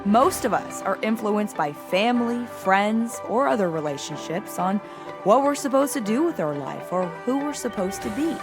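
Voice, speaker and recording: An upbeat woman in her thirties hosts her own podcast and talks like a life coach. Her voice sits in a mid-range pitch and sounds enthusiastic and confiding. Soft music plays faintly underneath. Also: expressive, normal speed. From South Florida. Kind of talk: personal podcast monologue.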